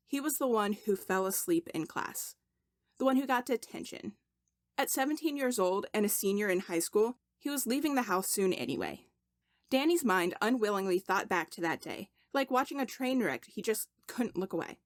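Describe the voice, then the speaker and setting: A woman reading a story aloud as expressive audiobook narration, deliberate, with dramatic pauses for emphasis. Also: mid-range voice, no room sound, studio quality. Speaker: podfic narrator.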